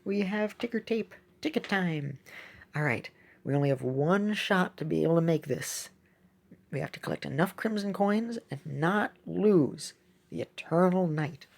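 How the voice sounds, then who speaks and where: A woman's voice: fairly low pitch, animated, measured pace, vocal fry, a little mellow. A woman giving casual, rambling gameplay commentary as a video host, with playful wordplay.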